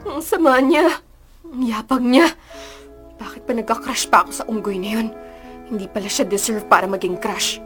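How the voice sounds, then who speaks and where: A woman's voice: fairly high pitch, moderate pace, pauses often, over music, lightly acted. An actress playing a feisty, indignant young female student in a Filipino radio drama, with an emotional, dramatic delivery. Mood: hurt. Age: young adult.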